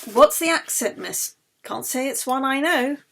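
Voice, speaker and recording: A woman's voice: high-pitched, lively intonation, a little slow, vocal fry, pitched above the performer's own voice, full character voice. A woman reading an audiobook, voicing a blunt, cheeky, nosy London ambulance man. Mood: nosy, suspicious. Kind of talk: audiobook character dialogue.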